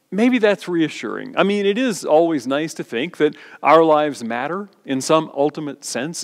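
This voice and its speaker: A middle-aged man preaches a calm, thoughtful sermon, letting his phrases fall away softly at the ends. His voice sits a little high for a man. It rings out in a big sanctuary, picked up from a distance with a long, lingering reverb. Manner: measured, reflective preaching with soft trailing endings. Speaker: pastor. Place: large church sanctuary, standing before the altar. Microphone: far camera.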